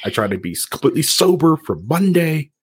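A man's voice: on the high side, big pitch swings, fairly quick, husky, dry recording. A man hosting a casual podcast, talking in a rambling, jokey way. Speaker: podcast host.

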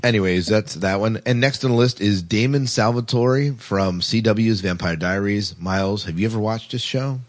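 A man's voice: fairly low pitch, normal speed, hardly any pauses. A man hosting a podcast in casual discussion, often doubling back to repeat his phrases. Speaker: podcast host. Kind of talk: casual discussion of TV sci-fi characters.

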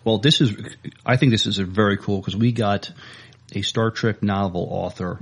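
Low, gravelly man, slightly halting. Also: fairly even intonation. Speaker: podcast co-host. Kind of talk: casual chat.

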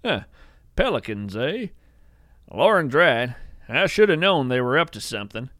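A man's voice: a little high, a bit bright, no room sound, clean studio sound. A man hosting and narrating a scripted storytelling podcast. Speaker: host and narrator. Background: plucked mandolin music.

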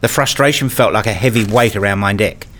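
A man reading a scripted first-person story as narrator, in a measured, introspective manner. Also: on the low side, fairly bright tone.

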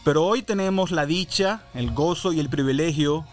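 A pastor hosts a church radio interview, chatty and warm, often restarting his sentences. His voice sits a little high for a man. Soft music plays faintly under him. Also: moderate pace.

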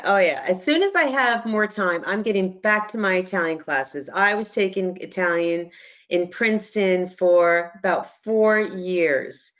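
A woman's voice: fairly low pitch, a bit bright, lo-fi sound. A woman chatting as a panelist in casual conversation, hesitantly repeating words as she thinks. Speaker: podcast panelist.

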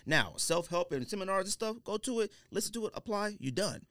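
A man's voice: high-pitched, a little fast, dry recording. A man in his late thirties chatting casually as a podcast host, telling stories in an emphatic, slangy way. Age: late 30s. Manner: animated storytelling, slangy, emphatic.

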